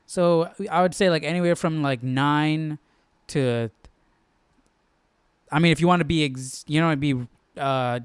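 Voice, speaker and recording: A man's voice: medium pitch, clear, resonant tone, dry recording. A man hosting a live Bible study stream with informal commentary, thinking aloud as he goes. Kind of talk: casual study-along commentary.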